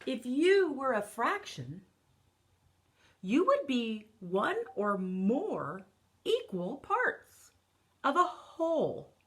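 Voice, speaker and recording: A woman in her thirties, an elementary teacher, reading a picture book aloud as a lesson for children, explaining deliberately and repeating key phrases. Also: high voice, huge pitch swings. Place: living or dining room at home, indoors.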